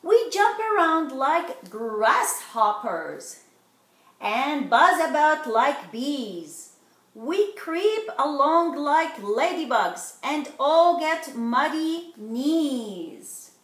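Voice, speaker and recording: Middle-aged woman, children's storytelling, extremely unhurried, expressive rhyming delivery, echoey room sound. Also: sits high. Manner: expressive, slow and deliberate, stretching rhyming words. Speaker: storyteller reading a picture book to children. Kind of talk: animated children's read-aloud. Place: living room at home, indoors. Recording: roomy home recording.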